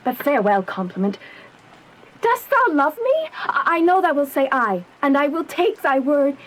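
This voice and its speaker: An actress playing a young noble maiden in Shakespearean verse, lovestruck and anxious, with an earnest, measured delivery. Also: female voice, sits high, very animated, slight background noise, rough recording. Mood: bashful pleading. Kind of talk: slow dramatic verse acting.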